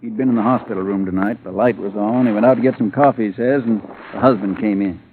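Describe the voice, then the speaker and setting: An actor playing a gruff, blunt sheriff in a 1950s radio drama, talking in a terse, sardonic way. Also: male voice, fairly low pitch, fast, close to an everyday voice. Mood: grim, blunt. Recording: tinny vintage radio recording, faint noise.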